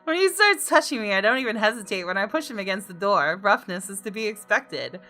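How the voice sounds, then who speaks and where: A woman's voice: medium pitch, sing-song, a little fast, pushed and bright. A woman reading the first-person narration of a jaded, guilt-ridden woman in a visual novel, in a dramatic confessional manner. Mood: wry, resolute. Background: guitar game music.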